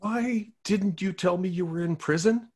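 A man's voice: sits high, normal speed. A man reading a hopeless romantic lead at a table read, with a sheepish, self-pitying comic delivery.

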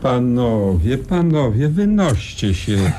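Stern, animated male doctor. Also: medium pitch, takes it slowly, noisy background. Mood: stern, exasperated. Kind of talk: Polish radio crime play acting.

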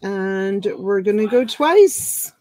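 A woman's voice: mid-range voice, animated, slow, mellow, no echo. A woman in her forties hosting a casual live-stream auction from her home, talking in a chatty, folksy way and drawing out the calls for bids. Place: home room full of plush toys and a dress form. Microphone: webcam on a computer.

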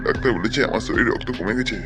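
Man playing a fast-talking flustered cartoon coward. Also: on the low side, close to an everyday voice. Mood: frightened pleading. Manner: stammering, rambling, pleading. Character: flustered cowardly boss pleading with a ghost. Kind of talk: amateur comic cartoon dub. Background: faint background music.

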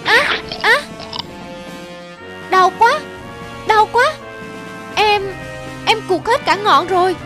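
A woman's voice: very high voice, lively intonation, drawn-out words, pauses often, music in the background, exaggerated voice. A woman voicing an innocent, childlike young blade of grass in a cartoon, full of wide-eyed exclamations. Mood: hurt, whimpering. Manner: wondering, exclamatory. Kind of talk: animated film dubbing.

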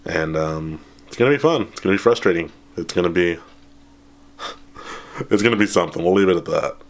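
A man in his thirties, slightly hoarse after being sick, chatting casually and rambling with lots of ums and laughs, holding a camera at arm's length in a small room. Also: low-pitched, moderate pace. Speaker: channel host. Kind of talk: casual update vlog. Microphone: handheld camera at arm's length.

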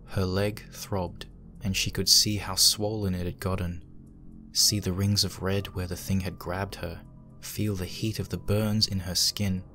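A man's voice: deep voice, flat delivery, a little slow, pushed and bright, soft background music. A man narrating a horror fan fiction as a dramatic scripted reading.

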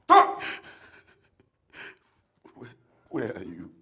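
A man's voice: very slow, bright, forceful tone, slight background noise. An actor playing a remorseful man in trouble, agitated and stumbling over his words in a film drama. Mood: panicked, remorseful.

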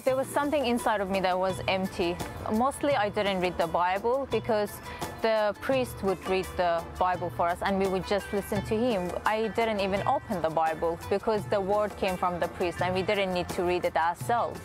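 Soft-spoken woman telling her faith story. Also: mid-range voice, normal speed. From born in Iraq, raised in Iran, lives in Australia. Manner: soft, reflective, sprinkles "like". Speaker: testimony guest. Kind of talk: personal testimony interview. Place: outdoor garden. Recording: music bed under voice.

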